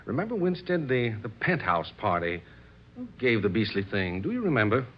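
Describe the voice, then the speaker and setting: An actor playing an excitable, courteous gentleman who is devoted to séances, in a theatrical old radio drama style with effusive, old-fashioned clubman's speech. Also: mid-range voice, moderate pace, a little hiss or hum, lightly acted. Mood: enthusiastic. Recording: dull old radio recording.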